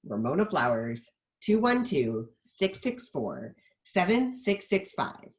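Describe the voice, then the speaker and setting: A woman's voice: sits low, big pitch swings, takes it slowly, rough recording. A woman narrator reading the stage directions of a screenplay in scripted narration.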